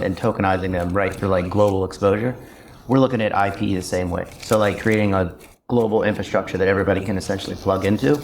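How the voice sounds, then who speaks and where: A man, a startup founder, explains his company on a conference panel in a casual way full of fillers. His voice sits low. Faint ambience from the hall hangs behind him. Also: a little hiss or hum. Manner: casual, lots of "like".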